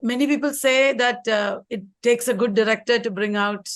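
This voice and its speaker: A woman hosting an interview, asking measured, probing questions. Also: on the high side. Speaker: interviewer host.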